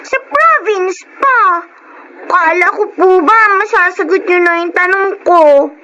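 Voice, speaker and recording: A woman's voice: extremely high pitch, takes it slowly, pushed and bright, a little hiss or hum, heavily acted. A woman voicing a sweet, curious young girl who talks politely and eagerly in a radio drama. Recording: dull lo-fi radio recording.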